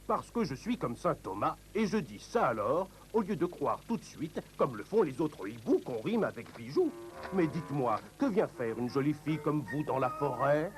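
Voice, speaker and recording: A man's voice: on the high side, big pitch swings, moderate pace, faint music behind. A man voicing a friendly, talkative, eccentric owl in a forest cartoon. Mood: friendly, quirky. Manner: quirky, talkative.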